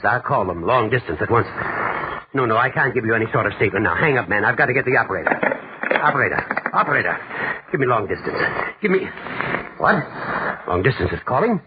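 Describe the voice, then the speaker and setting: Male actor as indignant, sputtering academic boss, 1940s radio style, animated pitch, dull and very noisy recording. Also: natural voice. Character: flustered, pompous male university head, disbelieving.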